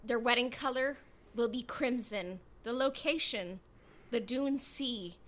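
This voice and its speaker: A woman's voice: a little high, slow pace, fairly bright tone. A woman co-hosting a podcast in casual, playful chat. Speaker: podcast co-host.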